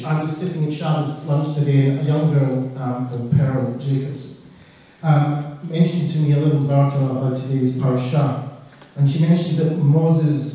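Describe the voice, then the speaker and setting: Israeli man speaking slowly in an echoey hall. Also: a little high. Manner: slow, deliberate, with pauses and soft drops. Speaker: clinical social worker giving a talk. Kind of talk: public speech to an audience. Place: large hall. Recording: distant, echoey, dull hall recording.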